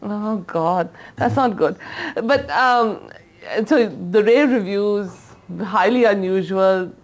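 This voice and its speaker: A woman's voice: mid-range voice, takes it slowly. A woman hosting a TV interview, asking frank, probing questions and teasing her guest lightly. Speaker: TV interviewer host. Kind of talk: TV interview conversation.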